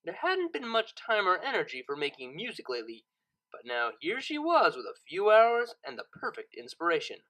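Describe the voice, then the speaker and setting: A narrator reading a scripted story audiobook in an expressive, dramatic storytelling manner. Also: medium pitch, normal speed, rough recording.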